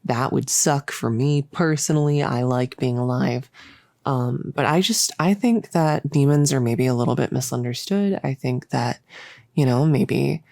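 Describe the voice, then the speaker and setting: A performer playing an earnest, rambling cultist experimenter in a roleplay audio, with casual, halting, awkwardly optimistic delivery, close to the mic. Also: mid-range voice, vocal fry, darkish tone, dry recording. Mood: earnest, hopeful. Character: earnest, rambling, chirpy cultist scientist, gently bossy. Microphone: close, dry studio-style microphone.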